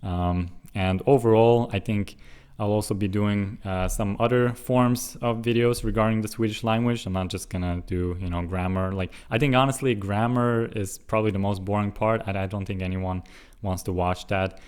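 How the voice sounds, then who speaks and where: A young man from Switzerland, with English and Russian as his first languages, talks to the camera in a relaxed, rambling way. His voice sits on the low side, and he slows right down to sound out tricky foreign phrases before drifting back into easy chat. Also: smooth. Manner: careful and halting on hard foreign words, chatty and musing otherwise. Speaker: language-learning vlogger. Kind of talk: casual solo vlog.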